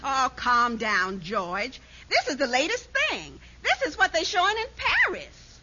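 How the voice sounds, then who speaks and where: An actress playing an exasperated, demanding wife, melodramatic and insistent, in a 1950s radio comedy. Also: female voice, high-pitched, huge pitch swings, unhurried, sharp tone, husky, heavily acted. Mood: coaxing. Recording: muffled old radio recording.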